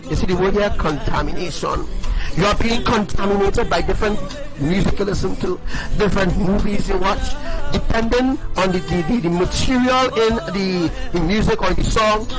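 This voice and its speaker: A man's voice: high-pitched, rough recording. A man preaching on a livestream. He talks emphatically, repeats his points and keeps asking his listeners "right?". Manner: emphatic and repetitive, asks the audience "right?".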